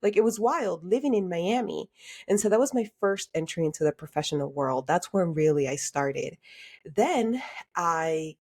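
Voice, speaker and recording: A woman's voice: fairly low pitch, lively intonation, normal speed, a little mellow, breathy edge. A woman from Honduras who moved to the United States as a child, telling her own story as a podcast guest in a candid, informal and reflective way. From Honduras until age eight, then United States. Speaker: podcast guest, career coach. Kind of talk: conversational personal storytelling.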